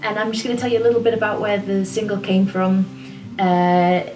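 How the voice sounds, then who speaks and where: A woman's voice: medium pitch, fairly quick. Chatty woman in a small roomy studio. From England. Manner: chatty, relaxed, rambling. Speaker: singer-songwriter. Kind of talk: casual talk to camera. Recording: roomy, a little distant from the mic, music behind.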